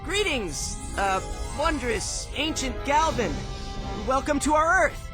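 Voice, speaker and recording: Teen game hero: impulsive, cocky boy, expressive and lively in pitch, music underneath. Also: slow pace, full character voice. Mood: awkward greeting. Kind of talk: animated video game acting. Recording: music behind the voice.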